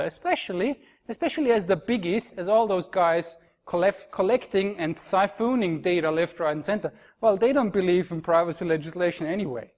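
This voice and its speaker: A middle-aged man from Austria with an Austrian accent, giving a frank, wry conference talk. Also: high-pitched. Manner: frank, wry, self-deprecating. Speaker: conference speaker.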